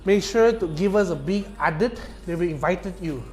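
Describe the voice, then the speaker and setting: A man's voice: high voice, moderate pace. A young man casting live esports, chatty and slangy, pushing viewers to join in. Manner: chatty, slangy, promotional asides. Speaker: esports caster.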